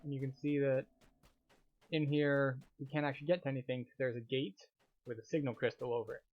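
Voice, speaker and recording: A man's voice: medium pitch, fairly even intonation, a little fast, highs cut off. A man narrating a video game walkthrough as a tour guide, explaining each step. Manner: explanatory, guiding.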